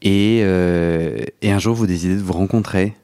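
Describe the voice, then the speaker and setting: A low-pitched man hosts an interview podcast, his voice dry and close with no room around it. He sums up his guest's story at length, often pausing on "euh" as he finds his words. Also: fairly even intonation, warm tone, clean tone, no echo. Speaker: podcast host.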